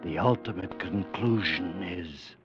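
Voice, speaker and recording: Very deep, slow, ominous announcer man. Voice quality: ominous, theatrical, hushed. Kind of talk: dramatic radio show opening. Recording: dull old radio broadcast with music.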